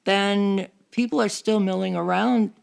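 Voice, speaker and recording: Casting: mature woman, noticeably creaky voice, mid pitch, casual guest conversation. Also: fairly even intonation, measured pace. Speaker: podcast guest. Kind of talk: casual chat.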